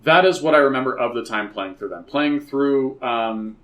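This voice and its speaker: A man's voice: medium pitch, normal speed. A man talking casually on a hobby podcast, making his points emphatically and repeating himself as he builds them. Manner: animated, slips into exaggerated mock quotes to make points. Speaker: podcast co-host.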